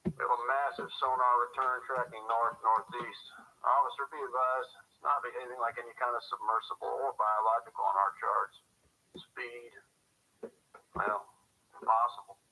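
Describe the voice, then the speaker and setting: An actor playing a grim, formal coast guard officer, speaking officially and ominously through a radio filter in a radio drama. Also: male voice, medium pitch, fairly even intonation, moderate pace, pushed and bright, breathy edge, rough recording.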